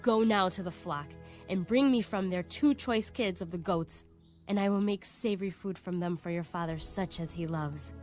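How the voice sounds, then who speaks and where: Woman playing a low, commanding scheming mother. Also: normal speed, a little mellow, close to an everyday voice. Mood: urgent, scheming. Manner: firm, urgent, formal. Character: commanding, scheming mother urging her son, Bible matriarch. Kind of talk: dramatised audio Bible acting. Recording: faint background music under the voice.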